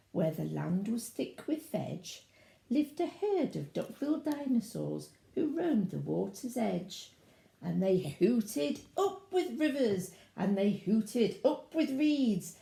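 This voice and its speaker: A woman's voice: a little high, creaky, warm tone, roomy sound. A woman reading a children's storybook aloud expressively, in a sing-song way, with asides to young listeners.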